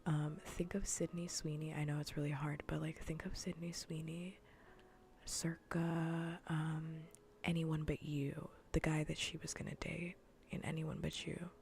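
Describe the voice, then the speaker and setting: Soft, whispery low-voiced woman streamer. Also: little pitch movement, a little slow, vocal fry, slight background noise. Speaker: livestream host. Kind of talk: casual ASMR stream chat.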